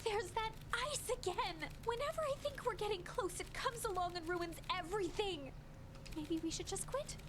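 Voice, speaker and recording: A very high little creature voice, plaintive and easily frustrated. It sounds like a dejected storybook being in a game, with noise audible behind it. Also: female voice, expressive, moderate pace. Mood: frustrated, despairing.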